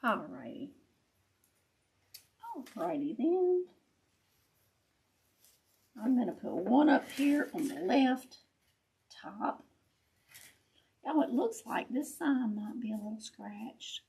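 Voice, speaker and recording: Raspy, chatty woman. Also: on the high side, very animated, takes it slowly, pauses often, a little mellow. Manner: chatty, laughs often, talks to viewers as y'all. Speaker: craft tutorial host. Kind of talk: casual live craft chat.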